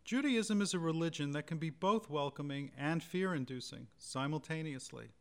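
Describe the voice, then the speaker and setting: A man reading a first-person memoir passage in a quiet, reflective way. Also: fairly high pitch, moderate pace, clean tone. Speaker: reader.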